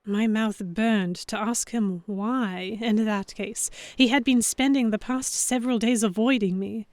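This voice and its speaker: A woman playing an earnest, anxious young heroine, narrating a dramatic story in the first person with measured expression. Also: a little high, steady tone, a little mellow, no room sound, close to an everyday voice. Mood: introspective. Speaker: narrator and lead character.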